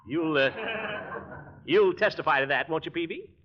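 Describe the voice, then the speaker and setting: A man's voice: on the high side, moderate pace, fairly bright tone, close to an everyday voice. An actor playing a blustering, easily exasperated middle-aged man in a 1940s radio comedy. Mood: wheedling. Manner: blustery, chuckling, easily riled. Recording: dull 1940s radio recording.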